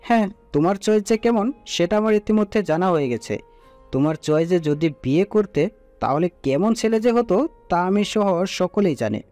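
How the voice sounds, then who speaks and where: A man's voice: high voice, normal speed. A man voicing a playful young husband who jokes and bickers with his wife in an audio story. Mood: teasing. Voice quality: joking, lively banter. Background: soft music.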